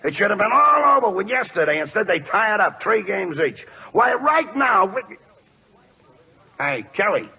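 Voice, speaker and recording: A man's voice: high-pitched, sing-song, normal speed, gravelly, bright, forceful tone, full character voice. A man playing a brash, good-hearted, slangy big league ballplayer in an old-time radio comedy. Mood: grumbling, evasive. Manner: streetwise slang, wisecracking. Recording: noisy muffled old radio broadcast recording.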